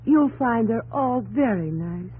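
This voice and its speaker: An actress playing a gentle young New England woman who tells her story earnestly and with measured delivery in a 1950s radio drama. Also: on the high side, lively intonation, breathy edge, narrow, tinny audio. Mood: gentle concern. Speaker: narrator and actress.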